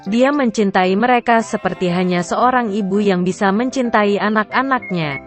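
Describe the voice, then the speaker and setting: A woman narrating a children's fairy tale in a gentle, measured bedtime reading voice. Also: mid-range voice, soft background music. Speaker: storyteller. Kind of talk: scripted children's story narration.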